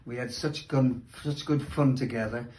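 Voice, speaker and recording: A man reminisces in casual fashion about the stage. His pitch is average. He speaks haltingly, often breaking off to find the next word. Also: fairly even intonation, darkish tone, low-quality recording. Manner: halting, searching for words. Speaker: pantomime performer.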